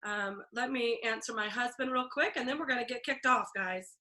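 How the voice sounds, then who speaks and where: A woman's voice: a little high, moderate pace, bright, forceful tone. Upbeat woman with a stuffy throat. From Texas. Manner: upbeat, chatty, many ums and throat clearing. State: stuffy and scratchy throat, coughing. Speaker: team leader hosting a video call meeting. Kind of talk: casual team call. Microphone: video call.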